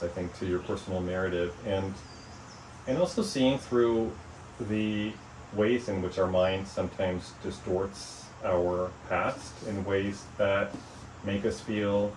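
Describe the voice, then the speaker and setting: A man being interviewed about his book, speaking in a thoughtful, measured way with frequent "you know" and "um". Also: low-pitched, fairly even intonation, darkish tone. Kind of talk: reflective interview conversation. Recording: echoey room, mic at a distance, faint noise.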